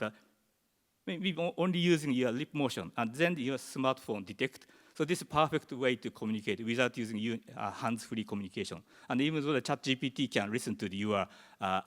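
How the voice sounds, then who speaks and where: Japanese-accented male presenter, English as second language, halting delivery, mid-range voice, long hall echo. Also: medium pitch, a bit bright. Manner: halting, searching for words in English. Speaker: conference presenter. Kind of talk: conference presentation. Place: large conference hall.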